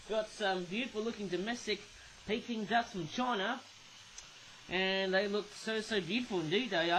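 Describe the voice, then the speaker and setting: A young man with an Australian accent and a notably high voice, talking through a toy review in a loose, rambling way. He keeps tacking a questioning "eh?" onto his remarks as he goes. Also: a little hiss or hum, rough recording. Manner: rambling, tags sentences with "eh?". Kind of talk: casual toy review monologue.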